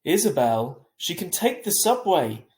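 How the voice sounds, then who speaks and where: Emphatic, exasperated dad read by a man, raised pitch. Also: very animated, a little slow, darkish tone, echoey room. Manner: emphatic, insistent. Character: impatient, easygoing father, exasperated but affectionate.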